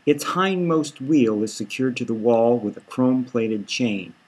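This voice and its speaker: Male audiobook narrator, author-read satirical fiction; husky, unhurried delivery. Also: medium pitch, darkish tone. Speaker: author reading own novel.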